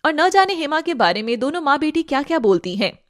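A woman narrating an animated family drama in a brisk storytelling manner. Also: sits high, no room sound, clean studio sound.

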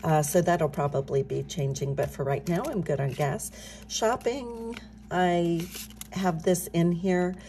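Soft-spoken woman with a scratchy morning voice. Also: fairly low pitch, a little slow. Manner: soft-spoken, talking softly. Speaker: budgeting channel host. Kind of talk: casual chat while stuffing cash envelopes. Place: home desk, indoors.

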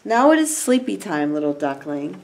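A woman voicing a gentle, soothing mother duck who calms her ducklings to sleep, speaking softly and reassuringly. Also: fairly low pitch, moderate pace, vocal fry, poor audio. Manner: soft, lulling, reassuring.

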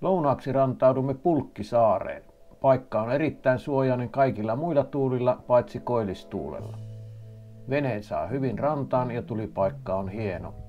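A man's voice: mid-range voice, expressive, phone-quality sound, soft background music. A man narrating a fishing trip video in a scripted style.

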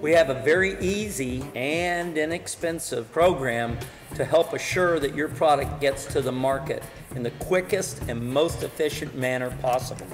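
An older man, a chef and business owner, giving a folksy, salesy promotional pitch to camera. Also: moderate pace, big-room sound, music in the background. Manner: folksy, salesy, plain-spoken. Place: commercial kitchen and office.

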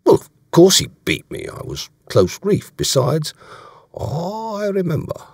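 A man's voice: a little high, wildly swooping pitch, a little slow, vocal fry, darkish tone, slightly rough, no room sound, clean studio sound, pitched above the performer's own voice, exaggerated voice. A man voicing an earnest, awkward English yachtsman in an audiobook, answering in a short, dogged, defensive way. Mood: uneasy, offhand. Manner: short, defensive, offhand replies.

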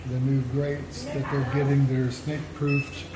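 A man's voice: medium pitch, completely flat delivery, a little slow, over a noisy crowd. A man walking through his renovated house, explaining the work in a casual, matter-of-fact way. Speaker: homeowner showing his renovation. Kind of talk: casual walk-through narration. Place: house under renovation, in and out of doors. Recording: echoey rooms with loud background noise.

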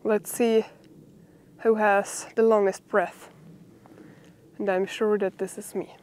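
A young woman from Germany with a German accent, a native German speaker, talking haltingly and unscripted as a bushcraft vlogger. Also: mid-range voice, flat delivery, darkish tone, slight background noise. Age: young adult. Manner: halting, with pauses mid-sentence. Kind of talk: unscripted vlog talk to camera. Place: outdoors in a forest.

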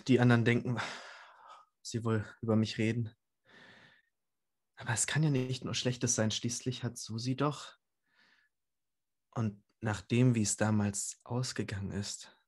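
A man improvising in-character roleplay in a halting, anxious manner on a video call from home. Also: mid-range voice, little pitch movement, darkish tone, breathy edge. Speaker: roleplay player. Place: attic room at home, online video call.

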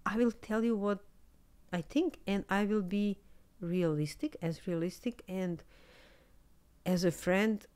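A woman in her thirties from Serbia with a Serbian accent, chatting candidly as a guest on a podcast in a small studio, speaking close into a boom microphone. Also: unhurried, pauses often, clean tone. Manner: candid, animated, laughs often. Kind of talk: casual interview chat. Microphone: studio mic on boom arm, headphones.

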